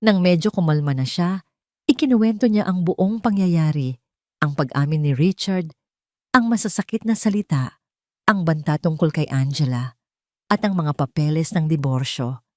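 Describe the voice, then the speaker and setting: A Filipino woman narrates a dramatic audio love story in a lowish voice. The recording is dry and close, with no room around it. She reads scripted lines as a storyteller. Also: moderate pace, darkish tone, no echo.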